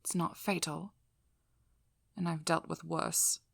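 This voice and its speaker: Female vampire hunter played by a woman for ASMR role-play: low, husky, breathy, guarded and firm, close on the mic. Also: little pitch movement, no room sound, full character voice. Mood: weary, dry. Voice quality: breathy, mostly whispered. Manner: slow, soothing instructions, firm and controlled. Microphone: close ASMR microphone.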